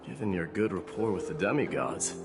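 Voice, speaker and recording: An actor playing a stoic warrior prince and demigod in a fantasy game, speaking in a formal, grave, measured manner. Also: male voice, deep voice, music in the background, lightly acted. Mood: solemn, resolute.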